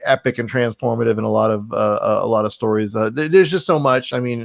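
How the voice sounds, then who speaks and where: A man with a mid-pitched, gravelly creak in his voice hosts a casual interview. He talks effusively and emphatically, rambling from thought to thought. The sound is very dry. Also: fast, no echo. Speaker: podcast host and interviewer. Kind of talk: casual, enthusiastic interview chat.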